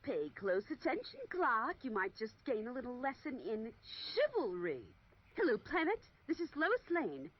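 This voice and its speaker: A woman playing a sharp-tongued, sarcastic young reporter in 1940s radio serial style, with a husky voice on a dull recording. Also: high voice, big pitch swings, moderate pace, a little hiss or hum. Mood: brisk, smug.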